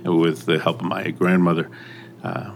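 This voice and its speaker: An older man who grew up in Mexico, telling his story in a relaxed interview, halting and often trailing off. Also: deep voice, slight background noise. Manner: halting, many pauses and restarts, soft trailing phrases. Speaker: restaurant owner. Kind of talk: casual interview.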